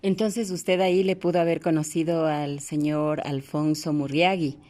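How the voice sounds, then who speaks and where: A woman's voice: sits low, steady tone, normal speed, a little mellow. A woman conducting a conversational interview.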